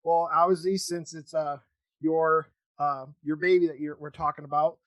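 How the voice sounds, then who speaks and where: A man hosting a podcast, chatting casually in a halting, loosely worded way as he interviews. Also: a little high, little pitch movement, fairly bright tone, no echo. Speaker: podcast host.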